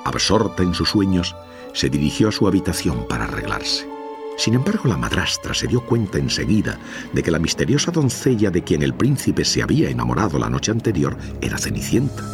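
A man's voice: sits low, sing-song, brisk, creaky. A man narrating a children's fairy tale from a script, as a storyteller. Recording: music behind the voice.

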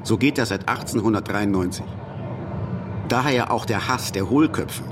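An actor playing a wry, irritable revolutionary exile speaking a letter in a musing way in a radio drama. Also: male voice, lowish voice, moderate pace, lightly acted. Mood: irritated, wry. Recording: distant mic, clear outdoor traffic noise.

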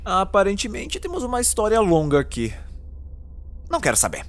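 An actor playing a weary, irritable time-traveling hero in an audio drama, with a wry, flippant and dramatic delivery. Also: male voice, high-pitched, huge pitch swings, a little fast. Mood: exasperated. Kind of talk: scripted audio drama acting.

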